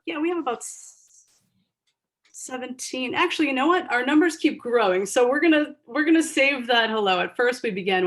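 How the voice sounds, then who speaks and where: A woman's voice: on the high side, creaky. A woman moderating an online literary panel over a video call. She gives earnest, gushing introductions full of ums.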